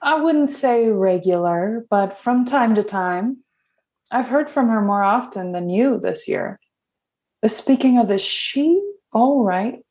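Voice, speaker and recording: A woman's voice: fairly high pitch, lively intonation, slow pace, darkish tone. A woman playing a self-assured, blunt and teasing old friend in an audio drama, with a wry, direct delivery. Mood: serious, urging.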